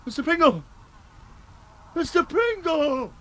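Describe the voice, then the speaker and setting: A man acting in a radio drama as a weary, anxious gentleman with a formal, fretful manner. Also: very high-pitched, sing-song, takes it slowly, heavily acted, poor audio. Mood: alarmed shouting. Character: weary, anxious gentleman trekking through desert heat.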